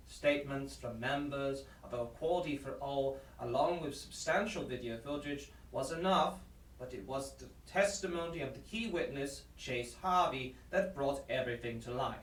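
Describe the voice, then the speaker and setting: Echoey actor as a solemn older judge. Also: male voice, medium pitch, close to an everyday voice. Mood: stern, official. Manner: formal, deliberate courtroom delivery. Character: solemn male judge in his sixties. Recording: echoey, distant, background noise.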